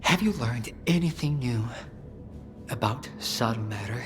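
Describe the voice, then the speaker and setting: A man's voice: medium pitch, expressive, measured pace, close to an everyday voice. Man as an earnest scientist over music. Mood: searching. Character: earnest, measured male scientist seeking trust and proof. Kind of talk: dramatic video game cutscene acting.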